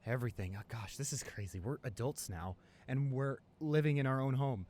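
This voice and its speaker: A man playing an excited young college boyfriend in an improvised ASMR roleplay, gushing and halting, repeating himself in sentimental amazement. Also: medium pitch, natural voice. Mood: sentimental, hopeful.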